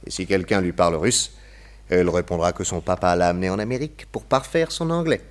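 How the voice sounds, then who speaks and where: Shrewd radio-play detective, played by a man: lowish voice, assured and explanatory, theatrical phrasing. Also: a little fast, close to an everyday voice. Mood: confident, amused. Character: shrewd, self-assured male detective planning his disguise. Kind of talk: French radio drama acting.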